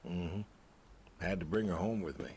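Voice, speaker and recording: An actor playing a lonely, aging pig farmer, gentle and resigned, speaking hesitantly and wistfully in a naturalistic game performance. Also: male voice, low voice, lively intonation, normal speed, a little hiss or hum. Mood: mellow, fond. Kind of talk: naturalistic narrative game voice acting.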